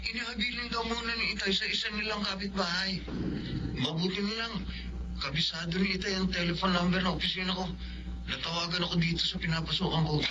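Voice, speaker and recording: A muffled recording of an actor playing a young Filipino father in a radio drama. He is earnest and insistent, and his voice sits high for a man. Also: steady tone, fast talker, pauses often, natural voice. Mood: worried. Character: earnest, stubborn young father and office worker. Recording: dull lo-fi audio with faint noise.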